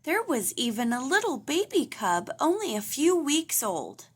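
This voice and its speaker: A woman reading a children's storybook aloud in an expressive narrating style. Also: sits high, a little slow. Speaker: storybook reader.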